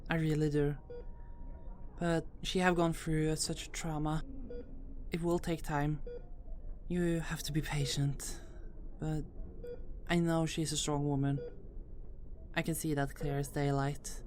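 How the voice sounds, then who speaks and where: A woman playing a patient, reassuring medical caregiver in an audio roleplay, speaking in a gentle, measured way. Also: deep voice, level tone, a little hiss or hum.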